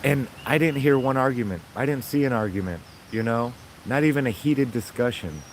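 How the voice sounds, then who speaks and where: Raspy-voiced man chatting enthusiastically. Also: medium pitch, normal speed, a little hiss or hum. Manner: rambling, enthusiastic, upbeat. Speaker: vlogger. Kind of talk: casual chat to viewers.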